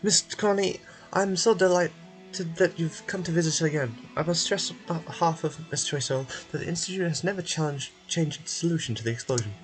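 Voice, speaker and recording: A man's voice: fairly high pitch, fairly quick, faint music behind, close to an everyday voice, low-quality recording. A man voicing a polished institute spokesperson in a video game, explaining things formally with courteous, firm assurance.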